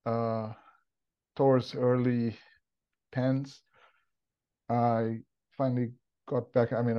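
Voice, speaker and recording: A Brazilian man in his forties with a Brazilian Portuguese accent, a podcast guest telling his career story in a relaxed, thoughtful way. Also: medium pitch, dull audio. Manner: relaxed and thoughtful, frequent "kinda" and restarts. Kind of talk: casual interview, career story.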